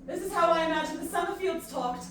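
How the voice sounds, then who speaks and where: A woman playing an earnest, disillusioned human pleading in an audio drama, halting and emphatic in her delivery. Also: very high-pitched, a loud crowd. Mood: reflective. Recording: very echoey, distant, noisy ambience.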